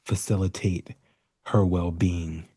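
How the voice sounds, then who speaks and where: A man's voice: low voice, fairly even intonation, takes it slowly, mellow, breathy edge, no room sound, studio quality. A middle-aged man talking casually and jokingly as part of a panel chat. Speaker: podcast panelist.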